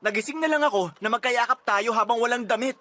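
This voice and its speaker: A man's voice: very high-pitched, fast, pushed and bright. A man playing a reluctant, frightened young man facing unplanned fatherhood in a Filipino radio drama, hesitant and defensive. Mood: shocked, defensive. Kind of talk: radio drama acting in Tagalog.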